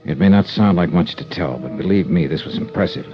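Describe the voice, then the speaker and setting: A man's voice: sits low, fairly quick, highs cut off, lightly acted. An actor playing a wry American investigator who narrates his case in dry, dramatic 1950s radio detective style. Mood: wry, intrigued. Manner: dry, dramatic, clipped storytelling. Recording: old radio broadcast, limited band. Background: dramatic music.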